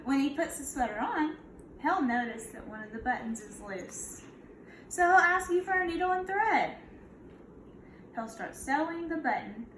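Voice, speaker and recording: Female kindergarten teacher, high-pitched, picture-book read-aloud with questions to the listeners, recorded in an echoey room. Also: a bit bright. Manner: instructive, prompting listeners with questions. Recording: echoey room, clear background noise.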